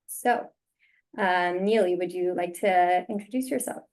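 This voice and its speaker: A woman's voice: fairly low pitch, unhurried, darkish tone. A woman welcoming viewers and introducing a virtual student panel as moderator, speaking over a video call.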